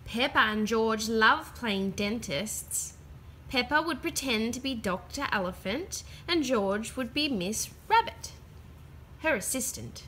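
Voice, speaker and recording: A woman reading a children's book aloud as an expressive, dramatic storyteller. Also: a little high, slight background noise.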